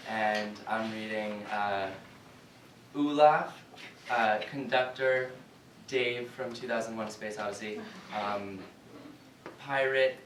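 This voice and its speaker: Slow, lowish young man in an echoey room. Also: fairly bright tone, slight background noise. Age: young adult. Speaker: reader introducing his parts. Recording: echoey room, distant mic, crowd.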